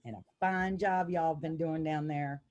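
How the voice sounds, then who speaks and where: A woman's voice: low voice, steady tone, a little slow, darkish tone, muffled recording. An American woman co-hosting an interview in a casual, folksy way of talking. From United States. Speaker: co-host. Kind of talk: casual chat.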